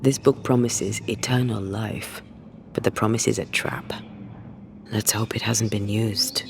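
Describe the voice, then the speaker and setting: An actor playing a grave, wary investigator of occult dangers in a video game, speaking in a measured, ominous way. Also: male voice, lowish voice, steady tone, vocal fry, background noise.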